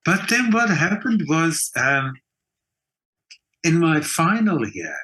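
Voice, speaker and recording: A man's voice: on the high side, sing-song, slow, sharp tone. A South African man telling personal stories conversationally as an interview guest, speaking emphatically and repeating words for emphasis. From South Africa, Johannesburg. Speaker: interview guest, actor and theatre designer. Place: video call from home country, indoors. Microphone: video call.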